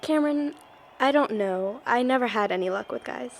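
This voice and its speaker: A woman's voice: fairly high pitch, moderate pace, vocal fry. An actress playing a troubled, earnest young college woman in a naturalistic film drama, with a pleading manner. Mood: hesitant, gentle. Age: young adult.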